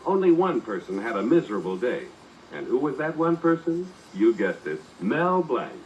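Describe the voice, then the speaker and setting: A man's voice: mid-range voice, big pitch swings, moderate pace, darkish tone. A man announcing and narrating a 1940s radio show from a script with showy, emphatic delivery. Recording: muffled old radio recording with music.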